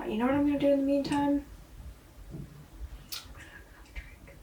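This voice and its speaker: A woman's voice: high voice, flat delivery, normal speed, background noise. Tired woman, echoey and distant. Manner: introspective and emphatic, swears often, sighs. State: tired, sore-throated voice. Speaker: vlogger and singer. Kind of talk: rambling video diary monologue. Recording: echoey room, far from mic.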